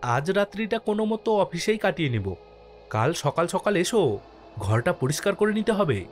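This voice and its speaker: A man playing an easygoing village postmaster who narrates his own ghost story in an expressive, dramatic way for a horror cartoon. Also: sits high, normal speed. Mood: relaxed, friendly. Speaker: first-person narrator and lead character. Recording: music under the voice.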